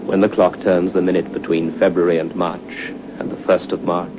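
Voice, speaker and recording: An actor playing a suave, menacing gentleman devil in an old radio drama, speaking in a measured, commanding, formal way. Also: deep voice, flat delivery, lightly acted. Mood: coldly persuasive. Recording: dull, noisy old radio recording.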